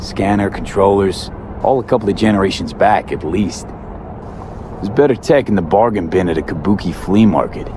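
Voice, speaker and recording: A male street mercenary played by an actor in a futuristic game. His voice sits low and he talks casually, with clipped, dry understatement. There is faint outdoor vehicle noise behind him. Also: moderate pace, lightly acted. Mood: dry, matter-of-fact. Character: laid-back, dry-witted male street mercenary in sci-fi city. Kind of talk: video game cutscene dialogue, understated.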